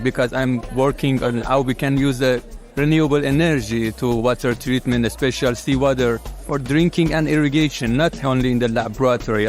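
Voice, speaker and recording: Halting man from Iran, outdoors. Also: mid-range voice. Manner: halting, many fillers and restarts. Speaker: water engineer, fellow. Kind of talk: recorded soundbite.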